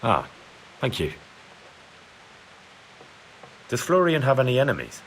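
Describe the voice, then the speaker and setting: A man's voice: mid-range voice, huge pitch swings, brisk, a bit bright, slight background noise, rough recording. An actor playing an earnest, plain-spoken young man who asks questions in a video game. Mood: inquisitive. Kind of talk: video game dialogue acting.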